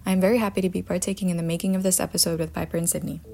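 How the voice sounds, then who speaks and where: A young woman from Lebanon, a student news director, reads her introduction quickly. Her tone is mellow and fairly low. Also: steady tone, creaky. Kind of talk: scripted self-introduction.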